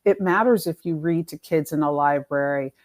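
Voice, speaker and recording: A woman with a slightly low voice speaks as an interview guest. She explains ideas conversationally and with emphasis, like a coach teaching, often voicing imagined examples to illustrate them. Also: moderate pace. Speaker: guest, author and activism coach.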